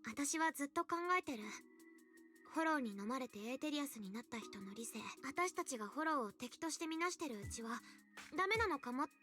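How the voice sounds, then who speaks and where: A high, quick voice of a curious young girl who studies monsters. She speaks softly and earnestly. Game music plays clearly behind her. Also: lively intonation. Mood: thoughtful. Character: curious young woman, gentle researcher of monsters. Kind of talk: game voice acting.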